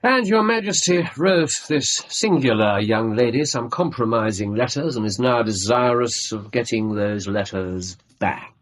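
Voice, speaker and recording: An actor playing a shrewd gentleman detective in a radio drama, with a British accent and precise, dryly ironic delivery. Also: mid-range voice, expressive, a little slow, few pauses, lightly acted, low-quality recording. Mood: incisive. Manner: precise, theatrical, dry irony. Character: shrewd, coolly incisive gentleman detective, faintly amused.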